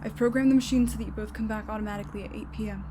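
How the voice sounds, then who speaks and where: A woman's voice: medium pitch, fairly even intonation, rapid-fire, close to an everyday voice. A woman playing a curt, no-nonsense operator of a time machine in an audio drama. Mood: brisk, commanding. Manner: curt, bossy. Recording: clearly noisy background.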